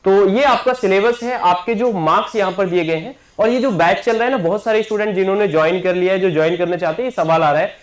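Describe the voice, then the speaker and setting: A man's voice: sits high, fast, hardly any pauses. A man, a coaching teacher announcing an exam batch to students, repeating himself and often checking in with them. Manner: repetitive, keeps checking in with listeners. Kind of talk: promotional class announcement.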